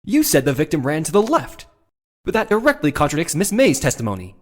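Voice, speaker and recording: A man's voice: fairly high pitch, huge pitch swings, brisk. A man playing an earnest, sardonic young defense lawyer in a video game courtroom drama, with emphatic delivery. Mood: accusing, confident. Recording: music behind the voice.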